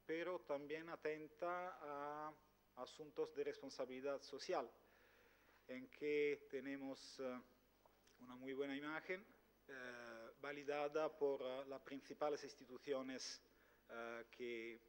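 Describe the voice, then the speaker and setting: Guy in his 40s presenting at a conference table mic, lots of ehs and ahs, mid-pitched voice, big hall. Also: steady tone, slow, smooth. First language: Italian. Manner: hesitant, frequent filler sounds, restarts. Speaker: company executive speaker. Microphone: table microphone.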